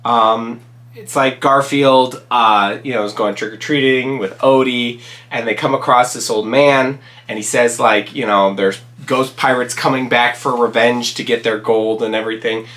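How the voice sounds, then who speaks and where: A man hosting his own channel, talking casually through his favorite movies, raspy from a cold and rambling with many ums and restarts. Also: medium pitch, fairly even intonation, moderate pace, fairly bright tone. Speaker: channel host reviewing movies. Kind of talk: casual solo commentary.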